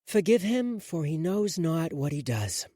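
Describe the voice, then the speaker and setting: A man voicing a sharp-tongued young woman who answers with dry mocking wit. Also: a little high, very animated, measured pace, no room sound.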